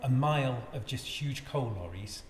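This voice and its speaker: A man's voice: mid-range voice, lively intonation. A man in his fifties who grew up in Wales tells his stories steadily, hesitating now and then. His mid-range voice sits in an echoey room with a long reverb and a faint hum of background noise. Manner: measured, storytelling, a little hesitant. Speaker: podcast guest. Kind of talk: casual conversation.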